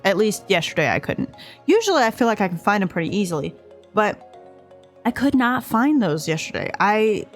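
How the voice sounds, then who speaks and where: Chatty stuffed-up woman rambling. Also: medium pitch. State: stuffed up from allergies. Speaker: game builder narrating a speed build. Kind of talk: casual voice-over commentary. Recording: voice-over with faint music behind.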